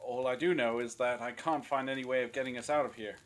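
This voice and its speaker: A man's voice: mid-range voice, steady tone, quick pace, natural voice. A man playing a grumpy, sarcastic captive with a cutting manner in an audio drama. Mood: irritable. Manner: scornful, irritable, cutting. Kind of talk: fan audio drama acting.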